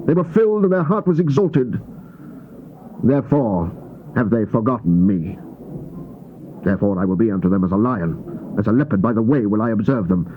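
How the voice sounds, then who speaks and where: A man's voice: lowish voice, animated. Solemn man declaiming scripture, noisy dull tape. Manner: grave, declamatory, deliberate phrasing with emphatic pauses. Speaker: scripture narrator. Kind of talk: dramatized Bible reading, solemn and theatrical. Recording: dull, noisy old cassette tape transfer.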